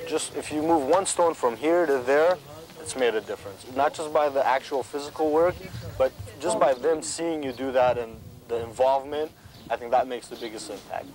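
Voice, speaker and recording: A young man answers an interview in a casual, reflective tone at a mid pitch. He is a student and talks plainly about volunteering. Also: moderate pace, noisy background. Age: young adult.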